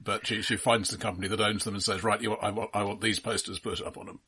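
Male co-host, lower pitch, rough husky tone, measured explanatory delivery for casual film talk. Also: flat delivery, pushed and bright. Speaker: podcast co-host.

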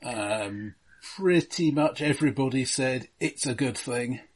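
A middle-aged man co-hosting a casual film discussion, speaking in a measured, wry way with careful qualifications. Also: medium pitch, crowd noise. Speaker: podcast co-host.

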